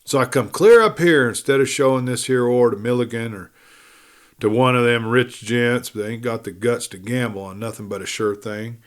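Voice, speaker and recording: A man's voice: medium pitch, moderate pace, close to an everyday voice. A man voicing a direct, persuasive prospector in his prime in a western audiobook, with rustic speech. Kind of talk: western audiobook character acting.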